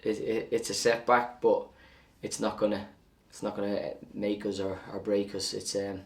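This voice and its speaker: Male football coach, low gravelly voice, matter-of-fact post-match reaction, slightly off-mic. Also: little pitch movement, moderate pace, distant mic.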